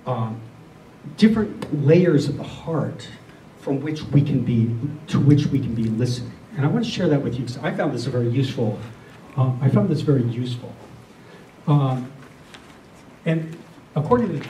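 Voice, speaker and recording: A middle-aged or older man giving a reflective spiritual talk to a group, speaking earnestly and deliberately, often repeating phrases. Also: mid-range voice, lively intonation, mellow. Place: room with a listening group. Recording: roomy, distant mic, faint noise.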